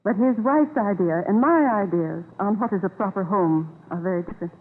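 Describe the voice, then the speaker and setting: A woman's voice: medium pitch, a little fast, natural voice. A woman acting a genteel, aggrieved widowed mother in a 1930s radio drama, speaking in a plaintive and hesitant manner. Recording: tinny, scratchy 1930s radio recording.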